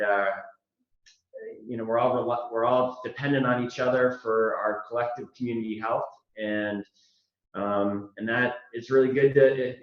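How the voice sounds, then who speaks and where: A man who is a mayor giving measured public briefing remarks over a video call, pausing often with fillers. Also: mid-range voice. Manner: measured, pauses with many fillers. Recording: roomy, dull video call audio.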